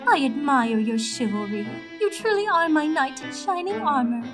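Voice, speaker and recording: A woman acts out a high-pitched pony prima donna from an audio drama. She is haughty and grandiose, switching between scorn and syrupy sweetness. Music plays clearly behind her. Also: big pitch swings, moderate pace. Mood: falsely sweet. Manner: theatrical, grandiose.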